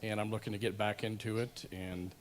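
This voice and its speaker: A man from Kansas who works in construction, introducing himself in a halting way that trails off. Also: lowish voice, flat delivery, background noise. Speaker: construction worker.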